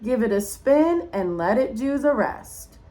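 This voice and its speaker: A woman's voice: fairly high pitch, animated, unhurried, mellow. A woman reading the part of a kindly, reassuring school lady guiding a new pupil in a rhyming storybook. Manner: reassuring instructions in rhyme.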